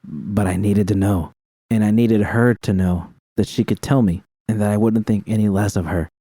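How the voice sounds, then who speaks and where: A man's voice: lowish voice, moderate pace, dark tone, slightly rough, no room sound. A man reading a dramatic first-person story as scripted audiobook narration. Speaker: audiobook narrator of fan fiction.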